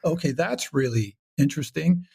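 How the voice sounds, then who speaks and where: A man from Canada talking casually as an interview guest, animated and rambling, acting out his own thoughts as he goes. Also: on the high side, moderate pace, creaky, husky. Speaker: audio comedy creator, podcast guest.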